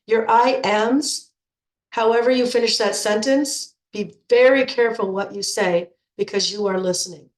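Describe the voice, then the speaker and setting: A woman's voice: mid-range voice, moderate pace. Middle-aged female coach, congested from a cold, chatty and repetitive, in an echoey room. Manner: chatty, repeats words when restarting. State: stuffed up with a cold. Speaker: nutrition coach. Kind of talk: informal coaching talk to program members.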